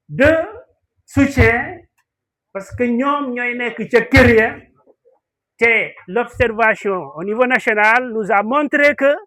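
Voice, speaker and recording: Senegalese man, very high bright voice, slow halting ceremony speaker. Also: rough recording. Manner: halting, deliberate delivery with pauses. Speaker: speaker at a school awards ceremony. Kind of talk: formal ceremony speech mixing French and Wolof.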